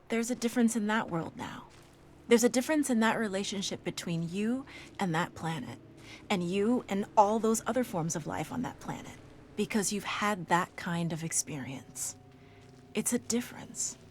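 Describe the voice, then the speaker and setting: A woman giving an expressive, reflective spoken-word recital, emphatic and at times hushed. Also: medium pitch, slight background noise. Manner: dramatic, emphatic delivery with hushed phrases.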